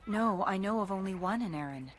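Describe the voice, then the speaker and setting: A woman's voice: medium pitch, animated, fairly quick, slight background noise, lightly acted. An actress playing an earnest woman who gives guidance in a fantasy video game, speaking in a formal manner.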